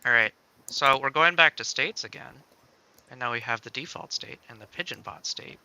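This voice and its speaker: A man hosting a livestream, casually walking through game-making software step by step, thinking aloud in a playful way. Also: mid-range voice, moderate pace, clean tone.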